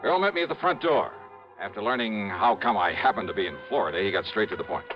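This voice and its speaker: A man's voice: lowish voice, expressive, fast, close to an everyday voice. A man playing a breezy, wisecracking insurance investigator in 1950s radio drama style. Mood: matter-of-fact. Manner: breezy, wisecracking, folksy slang. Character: breezy, teasing male freelance insurance investigator. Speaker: lead actor and narrator of a detective serial. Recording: muffled vintage radio recording with music.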